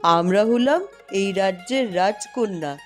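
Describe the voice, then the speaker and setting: A woman's voice: mid-range voice, slow, faint music behind, lightly acted. A woman voicing an outspoken, naive youngest princess in a fairy-tale cartoon, plaintive and a little haughty. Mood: plain.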